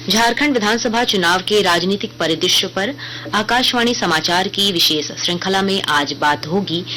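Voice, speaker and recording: A woman with an Indian accent reads a scripted radio news bulletin in a formal, measured way. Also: medium pitch, fairly bright tone, a little hiss or hum, low-quality recording.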